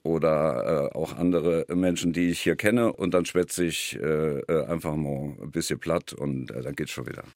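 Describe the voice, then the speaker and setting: A man's voice: rumbling low, moderate pace, hardly any pauses, darkish tone. A man in his sixties from Saarland with a Saarland German accent, talking in a radio interview with many fillers and small chuckles. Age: 60s. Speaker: author, interview guest.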